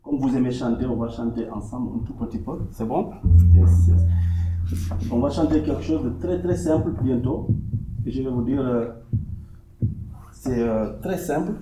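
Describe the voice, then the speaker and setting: A man whose mother tongue is Manding teaches a live audience, explaining patiently and speaking straight to them. His voice sits at an ordinary male pitch and carries a roomy echo. Plucked strings ring clearly behind him. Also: normal speed, long pauses, over music. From Senegal. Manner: patient step-by-step explaining, addressing the audience directly. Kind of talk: instrument demonstration workshop.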